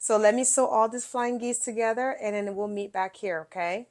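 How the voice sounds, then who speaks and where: A woman's voice: a little high, fairly even intonation, normal speed, darkish tone. A middle-aged woman hosting a casual quilting tutorial, a bit nasal from a cold, talking viewers through each step in a chatty way. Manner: chatty, explains by showing, talks straight to viewers. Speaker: quilting video host.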